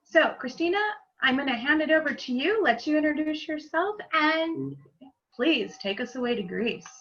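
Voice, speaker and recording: A woman with a bright, somewhat high voice hosts a group meetup over a video call. The sound is a bit dull and lo-fi, and she keeps the handoffs friendly and brisk. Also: expressive, vocal fry. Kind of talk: hosting a virtual meetup.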